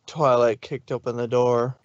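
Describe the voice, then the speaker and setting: Male narrator, plain steady read, dry, muffled mic. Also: mid-range voice, moderate pace, clean tone, no room sound. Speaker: reader. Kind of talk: fanfic reading narration.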